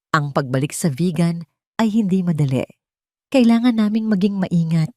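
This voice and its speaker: A woman's voice: low-pitched, darkish tone, no echo, clean studio sound. A woman with a Filipino accent reading a scripted first-person dramatic story as its narrator.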